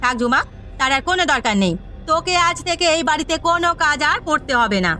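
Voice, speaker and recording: A woman voicing a stern middle-aged village housewife in a cartoon, with a sharp, imperious, scolding manner. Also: high voice, animated, quick pace, a little hiss or hum. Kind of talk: Bengali cartoon story voice acting.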